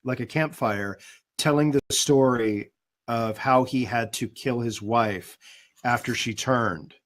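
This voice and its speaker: A man who is a guest voice actor, speaking in a thoughtful, relaxed way over a video call in a casual webinar chat. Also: medium pitch, slow pace. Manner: thoughtful, relaxed, with many fillers. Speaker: guest voice actor and demo producer.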